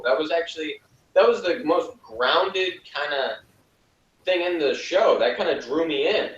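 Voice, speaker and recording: Blunt, higher-pitched man in a roomy recording. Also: fairly bright tone. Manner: blunt, disparaging, informal. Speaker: podcast reviewer. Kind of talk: casual opinionated review chat. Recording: dull, roomy, distant mic.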